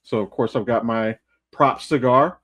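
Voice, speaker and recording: A man's voice: medium pitch, a little slow, fairly bright tone, no echo. An American man co-hosting a podcast in casual, rambling, sweary chat. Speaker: podcast co-host and voice actor.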